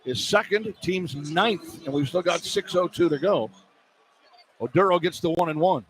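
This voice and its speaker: A man doing live basketball play-by-play on the radio as the announcer, animated and quick to exclaim at the action. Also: on the high side. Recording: poor radio feed, clear background noise.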